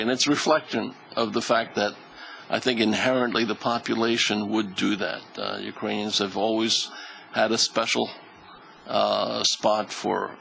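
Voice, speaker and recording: A man's voice: fairly low pitch, creaky, pushed and bright, a little hiss or hum, poor audio. A man on a charity panel, answering audience questions in a hesitant way with many fillers.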